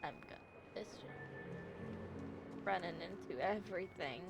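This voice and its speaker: A woman's voice: lowish voice, a little slow, gravelly, over loud music. A woman livestreaming a game with casual commentary, wry and given to exaggerated reactions. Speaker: live streamer playing a game.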